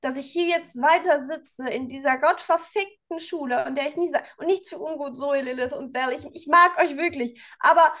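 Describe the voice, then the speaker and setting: A woman playing a distraught, defiant teenage schoolgirl in a tabletop game, talking in an emotional, halting way. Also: high-pitched, fairly bright tone. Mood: bitter, defiant.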